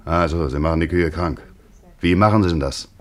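An actor playing a middle-aged village vet and father in a German radio play, measured and matter-of-fact, patient with children. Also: low voice, lightly acted. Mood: patient, explaining.

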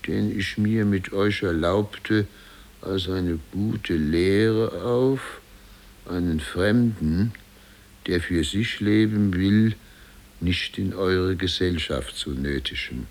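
Casting: blustering, pompous small-town mayor, superstitious, lowish voice, deliberate delivery, vintage 1950s radio drama. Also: male voice, a little mellow. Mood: grave, slow reading. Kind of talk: 1950s German radio play acting. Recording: 1950s radio recording from cassette.

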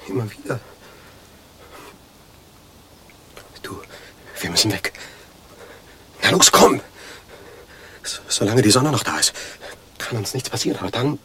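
Ein Schauspieler als wahnhafter Anstaltspatient in einem Hörspiel, der eindringlich und verschwörerisch von seinen Ängsten redet. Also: male voice, on the low side, animated, normal speed, pauses often, bright, forceful tone, a little hiss or hum. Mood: frightened. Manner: urgent, conspiratorial explaining. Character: delusional asylum patient, obsessively weeding, fearful of women. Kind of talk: German radio drama acting.

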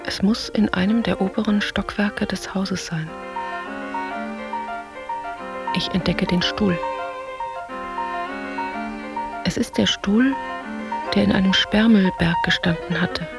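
A woman playing a pensive, detached narrator who recounts memories and dreams in measured, short literary sentences for a radio play. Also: mid-range voice, steady tone, creaky, natural voice. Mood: dreamlike, watchful. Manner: measured, introspective. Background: music.

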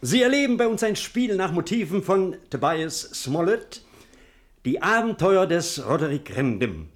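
A man's voice: high voice, sing-song, measured pace. A man announcing a radio drama in a theatrical, scripted style.

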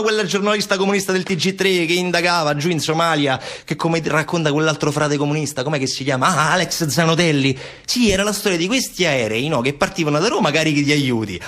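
Man acting sarcastic apologist, somewhat high voice, chatty, colloquial, mock-reassuring, repetitive, satirical theatre monologue. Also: a bit bright. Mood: sarcastic, confiding. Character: chatty, sarcastic man mockingly excusing the police.

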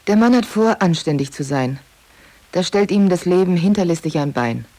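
A woman's voice: lowish voice, lively intonation, moderate pace, mellow. A woman reading scripted narration for a radio feature. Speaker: narrator reading a book summary.

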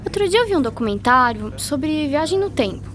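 A woman's voice: high-pitched, very animated, fairly quick, a bit bright. An actress playing a chatty, curious schoolgirl with a Brazilian accent in a radio drama.

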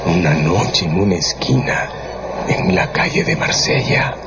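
An actor playing an urbane, sardonic theatre-goer in a radio drama, with a mocking, insinuating manner. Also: male voice, sits low, measured pace, noisy background. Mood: sly insinuation. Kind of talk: Spanish-language radio drama acting. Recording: muffled audio under loud music.

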